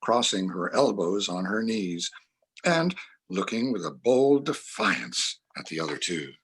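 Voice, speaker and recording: A man's voice: lowish voice, animated, measured pace, husky. A man reading scripted narration from a classic story as its narrator.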